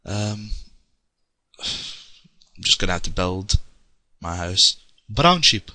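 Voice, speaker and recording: Chatty Scottish man, bright and dry. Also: sits low, expressive, slow pace, dry recording. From Scotland. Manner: rambling, chatty, many ums. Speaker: let's play gamer. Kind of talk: casual game commentary.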